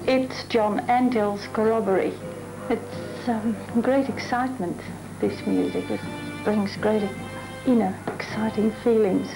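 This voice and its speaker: A woman from the Netherlands, a mural artist, talking thoughtfully in a documentary interview and pausing to find her words. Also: medium pitch, over loud music, poor audio. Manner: chatty.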